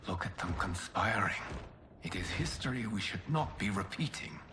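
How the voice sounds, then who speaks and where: An actor playing a calm, wise guardian in a video game cutscene, formal and measured. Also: male voice, on the low side, noisy background, natural voice. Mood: grave. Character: calm, solemn, wise male protector of realms.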